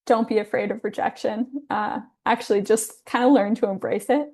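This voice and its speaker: Woman with a gravelly, relaxed voice. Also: fairly high pitch, normal speed. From Hawaii. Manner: relaxed, friendly, filler-heavy speech. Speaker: podcast guest, photographer. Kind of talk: casual conversational interview.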